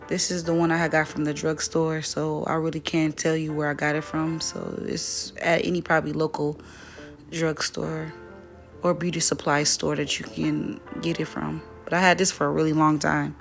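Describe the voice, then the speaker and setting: A young woman with a slightly raspy, dry voice, chatting casually through a makeup tutorial. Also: deep voice, moderate pace, faint music behind. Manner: relaxed, chatty, self-correcting. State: voice dry from weather. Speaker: beauty vlogger.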